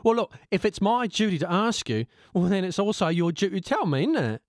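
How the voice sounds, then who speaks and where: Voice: mid-range voice, very animated, brisk, dry recording, clean studio sound, pitched above the performer's own voice, natural voice. A storyteller voicing a plain-spoken male taxi driver who lectures his passenger with blunt wisdom, in a read-aloud story. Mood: blunt arguing.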